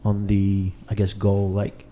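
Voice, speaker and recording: A man's voice: on the low side, monotone, slow pace, warm tone, a little hiss or hum. A thirty-year-old man hosting a podcast, chatting in a laid-back way with many fillers and trailing thoughts. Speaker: podcast host. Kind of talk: casual chat.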